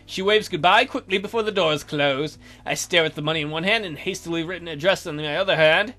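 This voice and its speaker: A man's voice: a little high, brisk, bright, forceful tone, faint music behind. A man hosting a let's play, reading a visual novel aloud in a theatrical, jokey way with asides.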